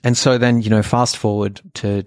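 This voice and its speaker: A man's voice: lowish voice, little pitch movement, normal speed, a little mellow, no room sound. Man, thirties, from australia, german first language, earnest and reflective, dry close sound. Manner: earnest, reflective, many fillers. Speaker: podcast co-host. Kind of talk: personal storytelling.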